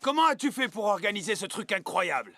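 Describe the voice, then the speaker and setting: A man playing a vain, dramatic star footballer in an animated cartoon, with a theatrical delivery. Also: sits high, a little fast, no echo, full character voice. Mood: impressed. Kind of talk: animated cartoon dub acting.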